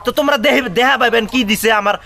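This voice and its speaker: A man playing a brash, boastful village election supporter, arguing in a northern Bengali dialect with loud rhetorical questions. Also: very high-pitched, rapid-fire, vocal fry, soft background music, heavily acted. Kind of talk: comedy skit acting in village dialect.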